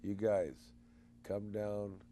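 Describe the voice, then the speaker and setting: A man co-hosting a call-in show, animated and folksy, rallying listeners in a casual way. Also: lowish voice, extremely slow, slight background noise. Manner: animated, folksy, preachy promoting. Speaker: co-host.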